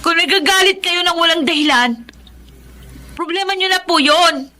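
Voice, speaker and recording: An aggrieved young orphan sister in a radio drama. Her voice is extremely high and bright, plaintive yet polite, over some background ambience. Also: moderate pace, slight background noise. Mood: indignant. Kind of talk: Filipino radio drama acting.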